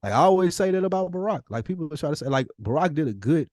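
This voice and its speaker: A man's voice: on the high side, sing-song, quick pace, darkish tone, no echo, clean studio sound. An American man talking casually as a podcast co-host, informal and slangy, giving his opinions freely. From United States. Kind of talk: casual conversational podcast chat.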